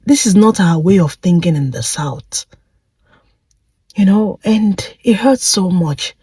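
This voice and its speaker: A woman's voice: fairly low pitch, warm tone, slightly rough, dry recording. A woman reflecting aloud in a personal vlog, asking one rhetorical question after another with feeling. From Nigeria. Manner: impassioned, full of rhetorical questions. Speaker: vlogger.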